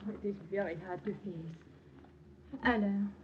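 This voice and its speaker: A woman's voice: medium pitch, fairly even intonation, measured pace, close to an everyday voice. Actress doing romantic french spy lady, french accent, melodramatic, muffled hissy old radio drama. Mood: wistful. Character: seductive, devoted Frenchwoman, romantic wartime spy. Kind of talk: 1940s-50s radio melodrama acting.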